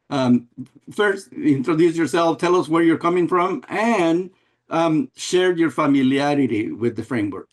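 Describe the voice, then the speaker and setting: Older man presenting. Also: a little high. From Guatemala and El Salvador. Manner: unhurried, repeats and restarts phrases. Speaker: guest presenter, math educator. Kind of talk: webinar presentation. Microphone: video call.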